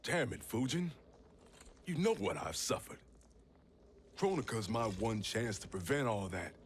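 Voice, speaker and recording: Slow, gruff American soldier. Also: male voice, fairly low pitch, big pitch swings, a little hiss or hum. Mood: bitter, pained. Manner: gruff, blunt, colloquial. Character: wounded, embittered American soldier, gruff protective family man. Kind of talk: cinematic video game voice acting.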